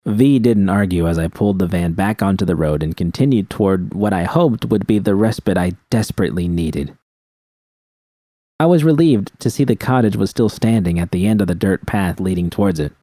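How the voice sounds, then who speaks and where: Low, mellow male narrator in a dry recording. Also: lively intonation, brisk, no echo. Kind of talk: scripted first-person fiction narration.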